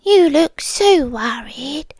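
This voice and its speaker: A young British woman voicing an obsessive, doting girlfriend in an ASMR roleplay, speaking softly and sliding into close whispers. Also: high voice, lively intonation, slow, dry recording, full character voice. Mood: calm doting. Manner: soft-spoken, drifting into whispers, gently unsettling.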